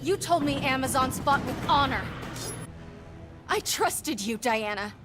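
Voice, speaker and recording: A woman's voice: high voice, expressive, unhurried, over loud music. A woman playing an earnest, principled young superhero in a video game, speaking sincerely and firmly. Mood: reproachful. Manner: sincere, morally firm. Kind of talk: dramatic video game acting.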